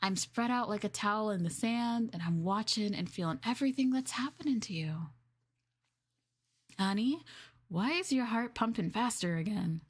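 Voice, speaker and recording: A woman's voice: medium pitch, expressive, normal speed, breathy edge. A woman voicing an affectionate, playful slime girl girlfriend in an intimate, teasing ASMR roleplay. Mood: tender, playful. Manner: intimate, doting, coy. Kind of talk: ASMR roleplay script reading.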